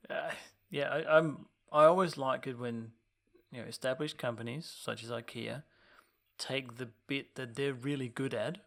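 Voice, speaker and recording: A man's voice: medium pitch, fairly even intonation, moderate pace, vocal fry. A man from Denmark, co-hosting a podcast in casual, chatty conversation, explaining things at length with frequent restarts. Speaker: podcast co-host. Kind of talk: casual chat.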